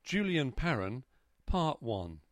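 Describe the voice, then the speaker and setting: A man conducts an oral history interview with an older guest. He asks his questions in a relaxed, easy, conversational way. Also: mid-range voice, slow.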